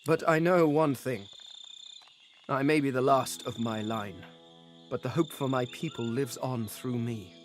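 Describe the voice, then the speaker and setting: A proud, sombre fantasy game survivor played by a man, last of a noble line. The voice is mid-pitched and delivers short, deliberate, grave statements over faint music. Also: natural voice. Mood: solemn resolve. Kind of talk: dramatic video game character acting.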